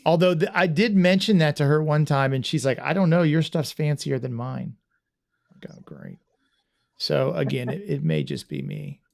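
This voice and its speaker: A man's voice: fairly high pitch, big pitch swings, normal speed, vocal fry, a little mellow. A man hosting a casual livestream podcast, rambling and self-deprecating with lots of restarts. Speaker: podcast host.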